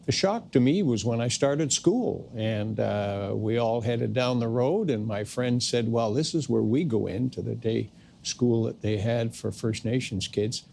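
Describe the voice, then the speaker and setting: A man's voice: mid-range voice, measured pace, vocal fry, a little mellow, slight background noise. An older man relaxedly telling stories from his childhood in a documentary interview, with casual asides. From Canada, British Columbia coast.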